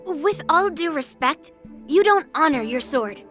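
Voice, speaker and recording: A cocky young girl warrior from a video game. Her voice sits high, and she teases and taunts with a hot temper. Music plays clearly underneath. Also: expressive, a little slow, fairly bright tone. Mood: taunting. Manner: brash, teasing, taunting.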